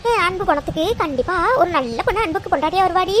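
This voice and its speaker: Woman doing a squeaky fast village gossip lady, nervous, noisy background. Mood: fretful. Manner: fussy, cautious. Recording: clear background ambience noise.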